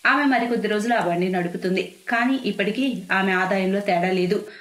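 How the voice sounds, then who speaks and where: A woman's voice: medium pitch, a little fast, fairly bright tone. Woman storyteller with a roomy echo. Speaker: narrator. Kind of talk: scripted storytelling narration.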